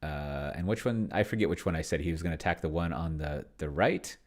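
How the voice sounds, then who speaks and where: A man's voice: a bass voice, fairly quick. A man giving casual think-aloud commentary on a solo tabletop game, rambling and musing to himself as he goes. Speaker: solo tabletop role-player and host.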